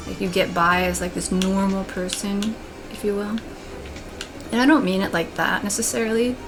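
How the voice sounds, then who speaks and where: A young woman with a monotone voice, talking in a candid, rambling way while playing a video game. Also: mid-range voice. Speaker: let's play gamer. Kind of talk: casual commentary while gaming. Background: game music.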